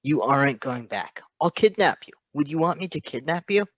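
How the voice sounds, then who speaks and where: A man's voice: a little high, animated, moderate pace, a bit bright, dry recording, pitched above the performer's own voice. An earnest, fatherly superhero played by a man in a story reading. He is insistent and fiercely protective, with open emotion, on a dry, slightly dull recording.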